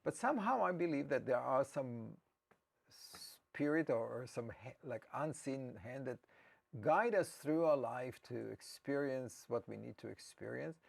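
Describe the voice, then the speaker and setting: A man's voice: mid-range voice, animated, a little slow, creaky. A man with an Israeli accent, a native Hebrew speaker, telling his personal story as an interview guest in a hesitant, reflective way. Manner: hesitant and reflective, with frequent restarts.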